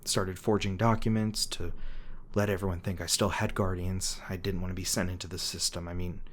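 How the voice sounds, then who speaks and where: A man playing a haunted monster hunter in an intimate audio roleplay, guarded but remorseful, confessing his painful past in a halting, hesitant way. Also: sits low, little pitch movement, a little hiss or hum, natural voice. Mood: melancholy, reminiscing.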